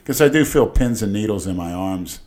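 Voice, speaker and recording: A man co-hosting a casual podcast, who often mumbles and lets his words trail off quietly. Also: fairly low pitch, lively intonation, a little fast. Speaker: podcast co-host.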